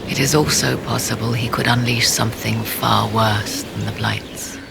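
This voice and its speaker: An actress playing a mysterious, proud sorceress in a video game, speaking in formal, archaic and measured phrases with a low, creaky voice. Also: female voice, fairly even intonation, over music, exaggerated voice, poor audio. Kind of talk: video game dialogue acting.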